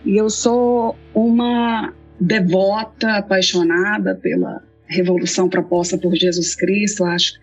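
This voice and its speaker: Husky Minas Gerais woman in her forties. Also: medium pitch, steady tone, unhurried, faint music behind, low-quality recording. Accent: Minas Gerais Portuguese. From Belo Horizonte, Brazil. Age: 40s. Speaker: guest theologian. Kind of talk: reflective interview answers.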